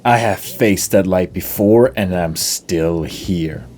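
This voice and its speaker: Deep, slow, grim undead knight. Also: male voice, a little hiss or hum. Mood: grim, defiant. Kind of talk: fantasy RPG voice acting. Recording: game dialogue over loud music.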